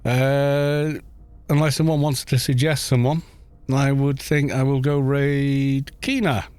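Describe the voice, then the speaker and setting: A man's voice: medium pitch, flat delivery, slow, a little mellow, smooth, no room sound. A man chatting casually on a game livestream, rambling along with self-deprecating good humour.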